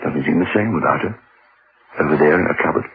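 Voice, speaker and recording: A man's voice: a bass voice, fast talker, vocal fry, breathy edge, full character voice. An actor playing a smug, suave English businessman and blackmailer in a radio drama, with a British accent and a condescending, urbane manner. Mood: wry, self-satisfied. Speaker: actor narrating and playing the lead. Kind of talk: radio drama acting and first-person narration. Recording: muffled narrow-band radio recording, faint noise.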